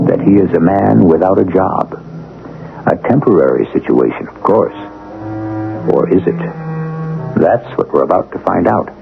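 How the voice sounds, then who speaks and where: An ominous host of a 1970s radio mystery, very low and gravelly. He narrates with deliberate, portentous weight. The sound is a tinny old radio broadcast with music underneath. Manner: deliberate, portentous, rhetorical questions.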